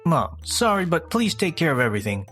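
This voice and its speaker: Woman acting low-voiced lazy, entitled husband. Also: big pitch swings, fairly quick, fairly bright tone, faint music behind. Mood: offhand. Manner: offhand, dismissive. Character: lazy, selfish adult husband, casually entitled.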